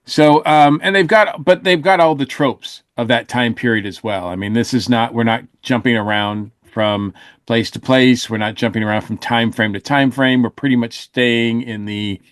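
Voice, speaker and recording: Halting man reviewing on a podcast. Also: medium pitch, no room sound, clean studio sound. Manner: halting, hedging, thoughtful. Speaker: podcast panelist. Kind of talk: casual review discussion.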